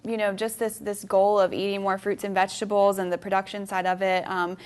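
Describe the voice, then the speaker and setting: A woman guest on a local TV show, talking earnestly about local food work with many pauses and restarts. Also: mid-range voice, level tone, a little mellow. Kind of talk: TV interview.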